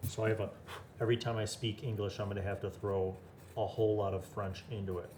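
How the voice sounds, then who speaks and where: Male host, low voice, casual and inquisitive, talks things through as he goes. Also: flat delivery, noisy background. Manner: thinks aloud, asks many quick questions. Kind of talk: casual chat about building a tabletop game character.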